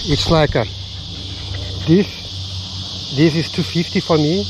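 Slow low-voiced woman outdoors. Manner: thinking aloud, counting and measuring. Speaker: bushcraft vlogger. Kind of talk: casual talk to camera while working. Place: outdoors in the bush. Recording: outdoor recording with loud insect noise. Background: loud crickets and insects.

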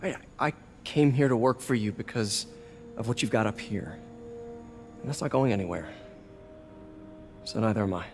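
A man's voice: fairly low pitch, expressive, normal speed, vocal fry, pauses often, over music. An actor playing an earnest young male superhero in a video game, an American who talks in an informal, reassuring way. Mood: earnest, loyal. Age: young adult. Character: young male superhero scientist, earnest, encouraging, wisecracking mentor. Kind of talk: acted video game dialogue.

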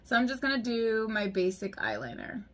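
A woman's voice: a little high, sing-song, moderate pace. A woman in her thirties, a little congested, chatting and giggling casually as she does a makeup tutorial at home, close to the camera. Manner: chatty, giggly, talks while applying makeup. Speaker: beauty vlogger. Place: small room at home, indoors. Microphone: camera close in front.

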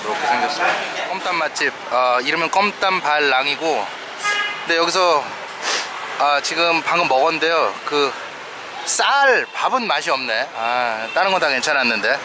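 A man casually narrating a food vlog, chatting about dishes and prices on camera at street restaurants. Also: on the high side. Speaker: travel vlogger. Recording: loud street noise, traffic.

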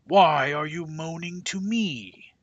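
A man's voice: fairly high pitch, slow, dry recording, muffled recording, voice pushed up. A man voicing a vain, short-tempered storybook king in an imperious, declamatory manner. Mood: angry, shouting. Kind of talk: children's storybook read-aloud.

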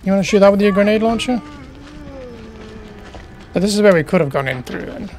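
A man's voice: high voice, lively intonation, brisk, darkish tone, slight background noise. Man musing through a game. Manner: chatty, muttering questions to himself while exploring. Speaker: gaming YouTuber. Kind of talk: casual let's play commentary.

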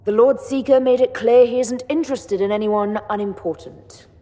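An actress playing a dry, sardonic young heroine in a video game, with a wry, deadpan delivery. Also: female voice, on the high side, normal speed, close to an everyday voice. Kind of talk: dramatic video game dialogue.

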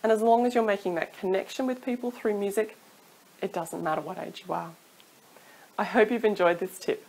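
A woman's voice: mid-range voice, steady tone, a little fast, gravelly, a little mellow, low-quality recording. A woman in her thirties, a singing teacher giving chatty, encouraging advice to camera from a small room at home, laughing now and then. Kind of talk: casual advice vlog to camera. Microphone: studio mic off camera.

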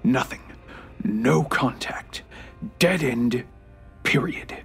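An actor playing a frail, bitter, remorseful old grandfather in an audio drama, halting and irritable, sometimes hushed and breathy. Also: mid-range voice, faint music behind, heavily acted. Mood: regretful, bitter.

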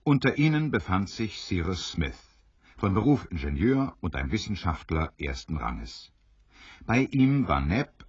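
A man narrating a dramatised story in a theatrical, deliberate way with a wry touch. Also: low-pitched, breathy edge, no echo, full character voice. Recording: dry, slightly dull studio recording.

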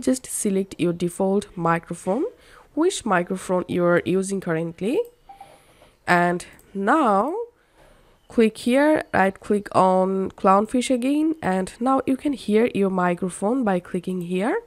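Unhurried woman narrating a software tutorial. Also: mid-range voice, no room sound. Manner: step-by-step instructing.

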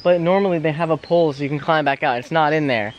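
A man's voice: a little high, fast talker, highs cut off, slight background noise. A young man from the United States vlogging his travels, chatting casually straight to a camera held at arm's length, often saying "like". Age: young adult. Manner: chatty, talks straight to camera, many "like" fillers. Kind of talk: casual travel vlog narration. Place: outdoors on forest paths and indoors in a room. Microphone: handheld camera at arm's length.

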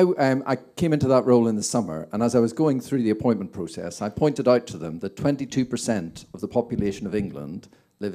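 A man with a Northern Irish accent introducing himself in a measured, self-deprecating way on a church panel, holding a microphone in a large conference room. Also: on the low side, dark tone. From Northern Ireland. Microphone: handheld microphone.

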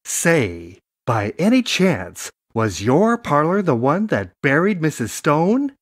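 A man plays a chatty, prying tradesman in an audio drama. His pitch moves about freely in a dry recording. He talks in a familiar, gossip-hungry way. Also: very animated, slow pace, dry recording, full character voice. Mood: nosy, gossipy.

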